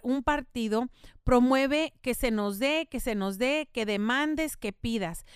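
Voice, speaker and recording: A woman's voice: fairly high pitch, clear, resonant tone, no room sound, clean studio sound. Earnest middle-aged woman. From United States. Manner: earnest, explanatory, faith-tinged, with spoken fillers. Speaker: podcast host. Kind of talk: earnest informative monologue.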